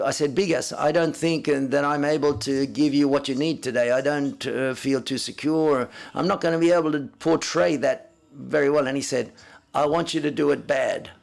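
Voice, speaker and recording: A man interpreting live at a press conference, speaking haltingly and often correcting himself as he renders others' words in first person. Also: fairly high pitch, gravelly.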